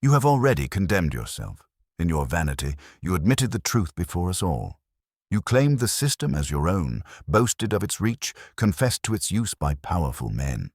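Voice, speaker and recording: A man voicing a heavy, older genius detective who speaks in a formal, imperious and calm manner in a narrated story. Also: sits low, moderate pace, no room sound, studio quality, voice pushed up. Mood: stern, authoritative. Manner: ponderous, formal, authoritative.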